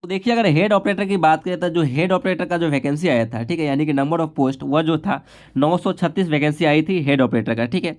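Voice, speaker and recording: A man's voice: a little high, brisk, darkish tone. A man explaining figures informally as an instructor, repeatedly adding a short confirming tag to his phrases. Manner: repetitive confirming tag after nearly every phrase. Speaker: exam-prep instructor. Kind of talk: informal explainer of exam cut-offs and statistics.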